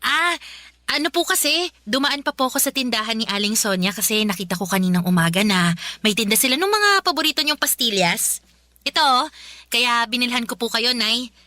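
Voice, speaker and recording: High, bright, timid teenage daughter. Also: female voice, expressive, fairly quick, no room sound. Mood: eager. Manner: hesitant, stammering, polite and pleading. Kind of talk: Filipino radio drama acting.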